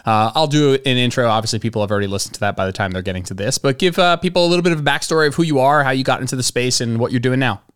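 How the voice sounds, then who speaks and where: Male podcast host, quick friendly delivery, crisp tone. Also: mid-range voice, fairly bright tone, dry recording, studio quality. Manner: upbeat and friendly, quick questions. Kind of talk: casual podcast interview.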